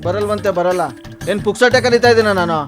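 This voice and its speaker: A man's voice: high voice, brisk, over music, natural voice. A man playing an irate, bullying customer in a prank phone call, hectoring and sarcastic. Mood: angry bullying. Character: irate, bullying male customer demanding a hired vehicle. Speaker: radio prank-call host.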